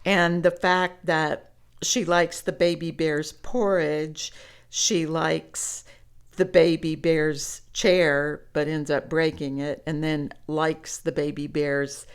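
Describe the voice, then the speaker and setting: A woman reading stories aloud to children in an expressive, conversational way, as if talking directly to young listeners. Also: deep voice, smooth. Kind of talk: read-aloud storytelling with chatty commentary.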